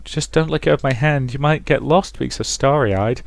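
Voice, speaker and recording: A man's voice: medium pitch, moderate pace, gravelly, dry recording, voice pushed up, lightly acted. A man voicing a cheerful, affectionate, teasing young Parisian lady in a visual novel. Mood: fond, teasing.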